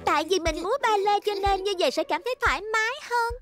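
A woman's voice: extremely high pitch, fast, a bit bright, faint music behind. A woman voicing a prim, fussy, haughty little schoolgirl in a cartoon dub, with a complaining manner. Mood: snippy. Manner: prissy, complaining.

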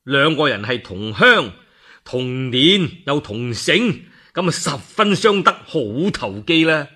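A male Cantonese storyteller narrates in an expressive, colloquial radio style. His voice is fairly high and bright, with a husky rasp. The delivery is theatrical. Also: slow pace. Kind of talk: animated dramatic radio storytelling.

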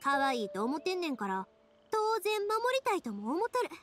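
A woman playing a cheerful, warm-hearted young fireworks maker in an anime-style game, speaking expressive, friendly Kansai dialect. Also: high voice, normal speed, slight background noise. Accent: Kansai Japanese. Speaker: voice actor in a video game.

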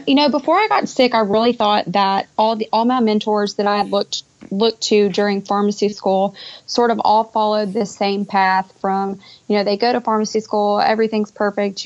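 A young woman talks as an interview guest in a conversational way. Her voice has a gravelly fry and stays fairly even in pitch. The sound is a dull internet call. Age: young adult. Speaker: podcast guest, pharmacist. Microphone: Skype call.

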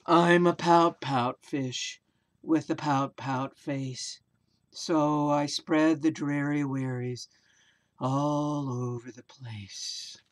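A woman voicing a gloomy, sulking male fish in a storybook, with a slow, drawn-out, mopey delivery. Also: deep voice, fairly even intonation, pitched below the performer's own voice. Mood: glum, resigned.

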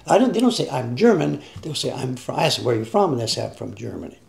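A man's voice: mid-range voice, big pitch swings, fast, creaky, darkish tone. An older man hosting a casual live-stream chat, speaking emphatically and repeating himself for stress. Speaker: craftsman host of a live stream. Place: indoor workshop.

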